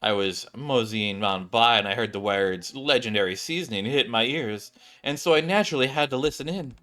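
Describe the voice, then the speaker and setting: Man putting on a pompous, bright-voiced hero woman. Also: mid-range voice, normal speed, natural voice. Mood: grand, self-satisfied. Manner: grandiose, formal declarations. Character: pompous, theatrical female hero with grand righteous airs.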